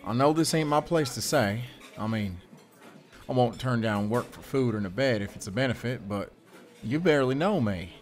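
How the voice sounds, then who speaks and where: A man's voice: medium pitch, expressive, normal speed, natural voice. A man playing a polite, weary young drifter, humble and hesitant, in an audio drama. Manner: hesitant, polite, plain-spoken. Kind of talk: audio theater acting.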